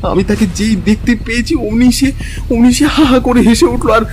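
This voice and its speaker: Man acting an agitated, high-voiced young caveman. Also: background noise. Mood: breathless, anxious. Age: young adult. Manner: breathless, agitated, halting with repeated words. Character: frightened but defiant young caveman hunter with bow. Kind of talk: dramatized audio story acting.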